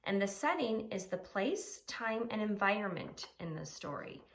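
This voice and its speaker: A woman's voice: mid-range voice, big pitch swings, normal speed, a bit bright. A woman elementary teacher explaining simply to children and reading aloud, with emphasis and rhetorical questions. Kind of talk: children's lesson and expressive storybook read-aloud.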